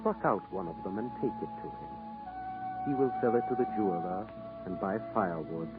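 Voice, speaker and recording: A solemn, compassionate prince of a statue pleads and commands with wide melodic swings. This is vintage 1940s radio acting, narrow in sound, with clear music underneath. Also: male voice, fairly low pitch, huge pitch swings, brisk. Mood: sorrowful compassion. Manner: solemn, pleading, repeats himself.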